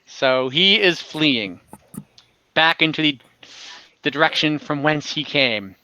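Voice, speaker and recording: A man's voice: fairly high pitch, lively intonation, takes it slowly, sharp tone, smooth, no echo. A man working as game master, narrating an online tabletop game casually and thinking aloud in a hesitant, improvised way. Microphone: online call microphone.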